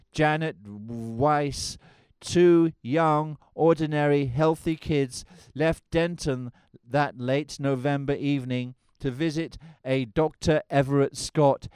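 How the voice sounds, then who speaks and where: A man voicing a grave, pompous older male storyteller, speaking slowly and solemnly with hesitant restarts. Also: fairly high pitch, darkish tone, clear, resonant tone, no room sound. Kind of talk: parody of a musical film.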